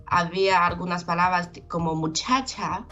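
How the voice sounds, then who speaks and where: A woman's voice: lowish voice, moderate pace, sharp tone, muffled recording, faint music behind. A young woman whose first language is Chinese, talking casually over a video call and often searching for words. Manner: hesitant, searching for words, many restarts. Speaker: interview guest, Chinese teacher. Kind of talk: casual interview in learner Spanish. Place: home in Galicia, video call.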